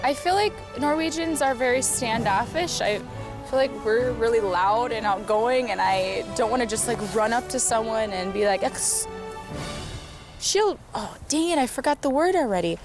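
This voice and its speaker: A woman's voice: a little high, expressive, moderate pace. A young woman from the United States, a reality show contestant, chatting in a bubbly, self-mocking way. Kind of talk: casual confessional chat. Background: music.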